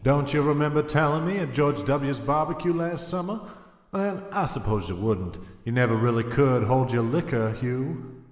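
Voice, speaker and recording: An actor playing a cold, controlling schemer in an audio drama, with a smug, mocking and deliberate manner. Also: male voice, medium pitch, lightly acted. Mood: smug, taunting. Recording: echoey hall, music underneath.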